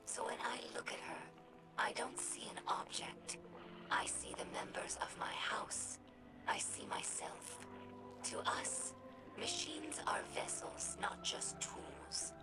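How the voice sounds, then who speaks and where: A woman playing an earnest young female alien scholar in a video game, with a breathy, whispery voice and measured, formal phrasing. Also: medium pitch, low-quality recording. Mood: earnest, warm. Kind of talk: video game character dialogue. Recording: music behind the voice.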